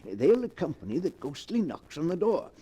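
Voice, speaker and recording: Shifty old professor in a 40s radio play, dark husky voice, really swoopy delivery. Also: male voice, on the high side, sing-song, moderate pace. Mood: gloating, conspiratorial. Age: older. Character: sly old professor, a scheming swindler. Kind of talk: 1940s radio acting.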